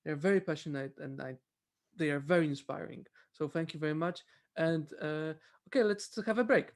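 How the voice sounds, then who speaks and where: A man with a high voice steps in as moderator. He speaks politely and haltingly over a video call, repeating words as he searches for them. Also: creaky. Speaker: symposium organizer and moderator. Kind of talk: moderating an academic meeting.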